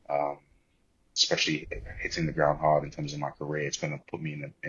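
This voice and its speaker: A British man in his twenties with an extremely deep, husky voice. He speaks as a podcast guest, measured and reflective with rather formal wording. The recording is dull and muffled. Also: sharp tone. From born in Liberia, grew up in the UK. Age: 20s. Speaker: podcast guest, corporate banker. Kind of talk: reflective interview talk.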